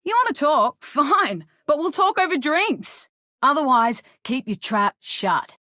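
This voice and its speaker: A woman voicing a gruff, blunt, hard-drinking female mercenary with swagger for a video game. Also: high voice, very animated, measured pace, sharp tone, no room sound, clean studio sound, heavily acted. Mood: brash, irritated. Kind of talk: game character acting.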